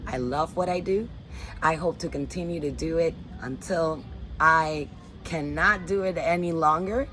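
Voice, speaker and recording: A woman's voice: deep voice, unhurried, fairly bright tone, a little hiss or hum, poor audio. A woman in her forties from the Dominican Republic, speaking in an earnest, upbeat way about her work as a teaching artist, recorded on a phone in a backyard. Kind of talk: personal statement to camera. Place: backyard under a canopy, outdoors.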